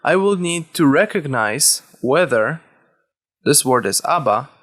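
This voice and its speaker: A man who is a native Hebrew speaker, teaching a language lesson step by step, deliberately and with key words repeated. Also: a little high, expressive, fairly bright tone. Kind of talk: instructional lesson, explaining step by step.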